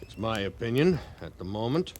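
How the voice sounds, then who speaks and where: An actor playing a haughty, hard-line army major with formal, authoritative speech in an old radio western. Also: male voice, on the low side, sing-song, normal speed, a little mellow. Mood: stern, insistent. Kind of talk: 1950s radio western acting. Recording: noisy old radio drama recording.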